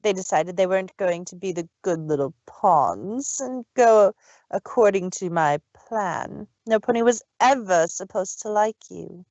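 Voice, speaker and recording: A man's voice: high-pitched, lively intonation, measured pace, darkish tone, no echo, dull audio, pitched above the performer's own voice. A man voicing a bossy, gloating pony villainess in a storybook reading, with a domineering, taunting manner. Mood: bossy, angry.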